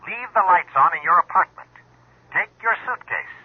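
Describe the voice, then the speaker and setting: An actor in a radio drama playing a cold, curt, threatening criminal caller, heard through a telephone. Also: male voice, high-pitched, expressive, normal speed, husky, no echo. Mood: cold, commanding. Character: cold menacing male criminal phone caller. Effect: telephone filter. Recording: tinny phone-like radio drama sound.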